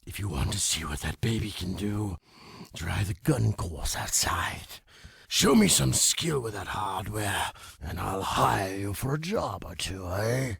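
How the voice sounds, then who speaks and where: Give the game a greedy old crime boss with a deeply creaky, very husky wheeze. He is oily and pompous, swinging his pitch as he schemes, and tags phrases with a questioning grunt. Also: animated, measured pace, a bit bright, no room sound, heavily acted. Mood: menacing, sly. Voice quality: wheezy, gasping, gravelly. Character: greedy, sly, gluttonous older male crime boss. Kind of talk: video game cutscene acting.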